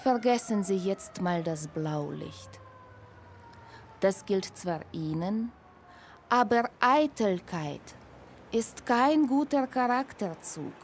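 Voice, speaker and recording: An actress in a radio play playing a patient woman in charge who coaxes and lectures gently in a measured way. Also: fairly low pitch, slight background noise. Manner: measured, coaxing, deliberate.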